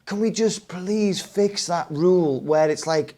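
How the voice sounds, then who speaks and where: A young man hosting a football podcast, talking in a casual, animated way that turns to ranting, often saying "mate.". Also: high voice, measured pace. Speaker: podcast host.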